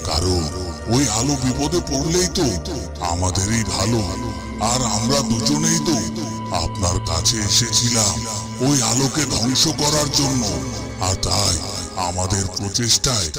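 A man voicing a vengeful, scheming dark sorcerer in a fairytale cartoon, menacing, in short emphatic phrases. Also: mid-range voice, lively intonation, measured pace. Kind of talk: dramatic fairytale cartoon dubbing. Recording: loud music behind the voice.

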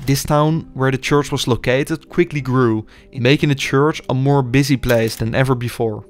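A young man reads scripted documentary narration in a measured, explanatory way. His voice sits at an ordinary middle pitch, and faint music plays underneath. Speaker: urban explorer narrator.

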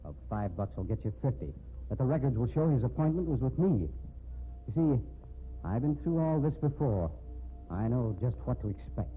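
An actor playing an embittered, lovesick murder suspect in a 1950s radio drama, intense and urgent. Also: male voice, medium pitch, very animated, moderate pace, faint music behind, lightly acted. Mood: bitter. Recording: tinny, noisy 1950s radio recording.

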